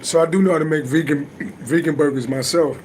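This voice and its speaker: A middle-aged man talks loosely on his livestream, his raspy voice sitting fairly high and sounding a little worn from singing. He swears casually and answers his viewers as he goes. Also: fairly even intonation, brisk, a little mellow, a little hiss or hum, poor audio. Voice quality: deep voice. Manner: loose, profane, chatty, answers viewers' comments. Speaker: livestream host and astrology reader.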